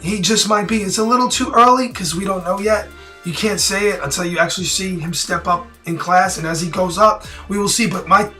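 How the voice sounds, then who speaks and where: A man with a raspy, hoarse voice, worn out from yelling, talking straight to camera in a blunt, rambling and opinionated way. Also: high voice. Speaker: boxing prediction vlogger. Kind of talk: casual direct-to-camera talk.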